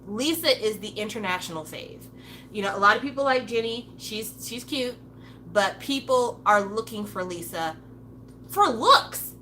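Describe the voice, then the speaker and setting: A woman talks in a loose, unscripted monologue, blunt and swearing freely. She slips into lively impressions of other people as she goes. Her tone is bright and forceful, with a faint hum underneath. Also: medium pitch, unhurried. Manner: acts out playful voices of others. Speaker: commentator. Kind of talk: casual opinion monologue.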